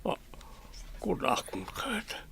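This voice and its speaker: An actor playing an ailing, suspicious father with a gravelly voice and an Ilocano accent in a radio drama. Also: drawn-out words, fairly bright tone, whispered. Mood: weak, doubtful.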